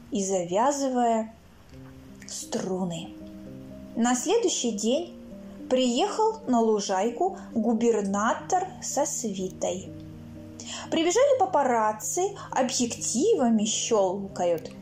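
A woman reading her own children's stories aloud in an expressive, theatrical way, acting out sounds and characters. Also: sits high. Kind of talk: expressive children's storybook narration. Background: music.

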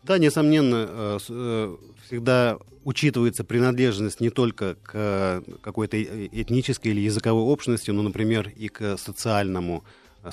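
A man's voice: on the low side, darkish tone, no echo. A man speaking as an expert radio guest, explaining in a lecturing way with frequent fillers. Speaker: expert guest on languages of India.

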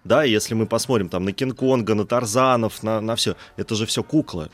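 A man's voice: on the low side, brisk. A man speaking as an expert guest in an interview, explaining conversationally and emphatically, often repeating phrases. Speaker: studio guest, animation expert.

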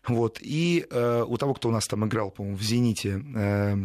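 A man's voice: lowish voice, fairly quick, darkish tone, no echo. Rambling male journalist, dry close sound. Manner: rambling, digressive storytelling with frequent self-corrections. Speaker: journalist guest. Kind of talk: conversational interview recollection.

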